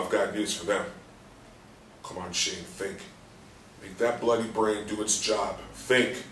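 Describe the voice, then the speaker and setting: A man acts out a frustrated patient surfacing from a coma in a stage play. He has a lowish voice and urgently berates himself, muttering and bursting out. It is caught at a distance in an echoey room. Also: natural voice. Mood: angry, straining. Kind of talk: stage play reading, inner monologue. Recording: roomy distant stage recording, faint noise.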